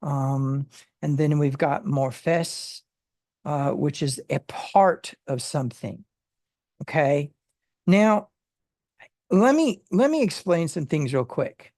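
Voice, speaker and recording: Bible study teacher, talks real slow, lots of ums and restarts, dry sound. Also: medium pitch, animated, a little mellow, no room sound. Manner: halting, many fillers and restarts while working through word meanings.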